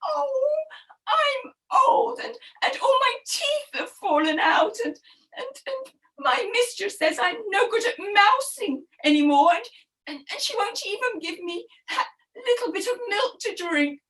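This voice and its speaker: A pitiful old she-cat from a storybook, played by a woman. The voice is very high and halting, sighing plaintively with big swoops. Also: expressive, fairly bright tone, far from the mic, exaggerated voice, poor audio. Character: old female cat, toothless, pitiful and downtrodden.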